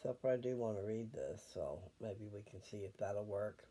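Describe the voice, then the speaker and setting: An older man chatting casually and reading scripture at home, unhurried and wandering as he thinks aloud. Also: on the low side, dark tone.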